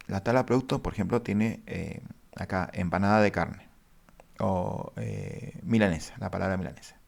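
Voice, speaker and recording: Deep gravelly-voiced man teaching databases. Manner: halting, many fillers, addresses viewers formally. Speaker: database instructor. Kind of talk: screen-share coding lesson.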